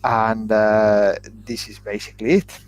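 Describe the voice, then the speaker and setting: A man's voice: on the low side, level tone, unhurried. A man answering interview questions as a shiatsu therapist and teacher, hesitant, with many fillers.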